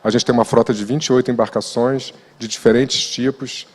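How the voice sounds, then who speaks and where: Flat-voiced man presenting in a hall. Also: mid-range voice, brisk. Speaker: company director panelist. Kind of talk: panel presentation. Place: conference hall. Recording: long hall reverb.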